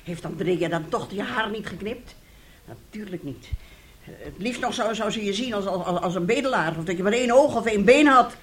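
A woman's voice: mid-range voice, expressive, normal speed, slight background noise, close to an everyday voice. A woman acting in a radio drama as a bitter, domineering, self-pitying mother, scolding melodramatically. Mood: scornful.

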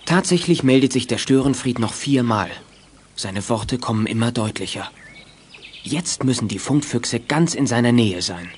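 A man's voice: medium pitch, expressive, moderate pace, a little hiss or hum. A man narrating a children's adventure radio play in a scripted storytelling style. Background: music.

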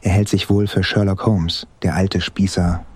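A man's voice: sits low, little pitch movement, normal speed, a little mellow, close to an everyday voice. A man playing a brash, mocking space traveller in an audio drama, with a flippant, slangy manner. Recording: roomy echo.

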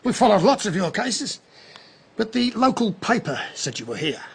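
Matey aussie bloke, nosy and blunt, high raspy voice, radio drama. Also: male voice, big pitch swings, moderate pace, exaggerated voice. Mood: curious, impressed. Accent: Australian. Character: hearty, nosy Australian man, friendly neighbour.

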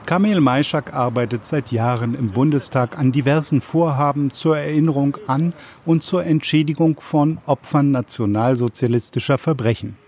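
A male radio reporter reading a scripted portrait narration in a composed, even manner. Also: medium pitch, normal speed, few pauses.

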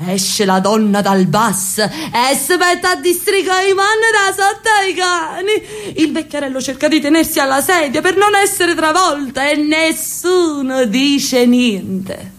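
An actress playing an elderly sister from Naples in a radio drama, telling a street story with lively, affectionate chatter. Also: female voice, high voice, a little slow. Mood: storytelling. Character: elderly Neapolitan sister, talkative, loves her city.

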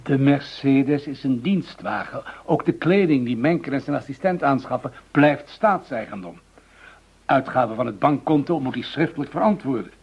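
Gravelly, diplomatic senior official. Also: male voice, mid-range voice, animated, normal speed. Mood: calm, firm. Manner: formal, businesslike, diplomatic. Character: measured, conciliatory male senior official mediating. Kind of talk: Dutch radio play acting. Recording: dull, muffled radio drama recording.

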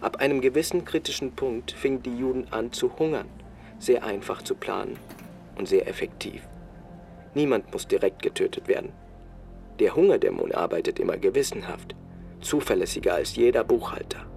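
An actor playing a sober, matter-of-fact man, giving measured, detached first-person narration in a radio drama. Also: mid-range voice, expressive, gravelly, a little mellow. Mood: sober, grim. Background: music.

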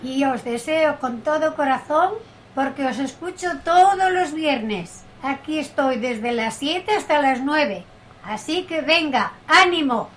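A woman's voice: sits high, lively intonation, unhurried. An older woman, a listener, giving a greeting in a recorded message.

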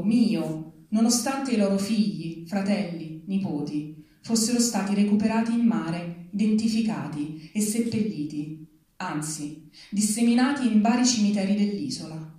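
Mellow-voiced woman reading in an echoey hall. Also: medium pitch. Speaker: reader at a public literary reading. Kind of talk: literary reading aloud. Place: large reverberant venue, live event. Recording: echoey hall, distant from microphone.

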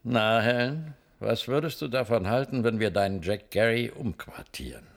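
Brusque middle-aged starship commander, incredulous, widely swinging pitch, creaky edge, radio play. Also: male voice, lively intonation, normal speed. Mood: probing, concerned. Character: commanding, incredulous male spaceship commander and father. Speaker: actor. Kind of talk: German science-fiction radio drama acting.

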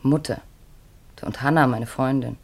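An actress in a 1950s German radio play voicing an earnest, wistful middle-aged mother on the run, speaking in measured, pleading short phrases. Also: a bass voice, steady tone, breathy edge, full character voice. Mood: sorrowful, resolute. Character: Marianne, earnest, wistful fugitive mother of grown children.

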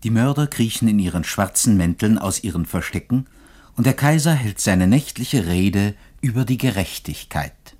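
A man's voice: fairly low pitch, animated, normal speed, darkish tone, breathy edge. A man reading scripted narration for a radio play.

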